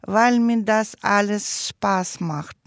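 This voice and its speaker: A woman speaks in a very slow, careful way, stopping often to find the next word. Her voice sits at an ordinary middle pitch and sounds close and dry, with no room around it. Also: dry recording. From Ukraine. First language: not German. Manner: hesitant, searching for words, many pauses. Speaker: guest, project participant. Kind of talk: interview, halting learner's German.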